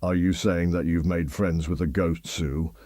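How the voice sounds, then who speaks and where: Man as a deep, mellow British radio host. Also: steady tone, unhurried, dry recording. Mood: genial, bemused. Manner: chatty, lightly bemused. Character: genial late-night radio phone-in host. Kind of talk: late-night talk radio presenting.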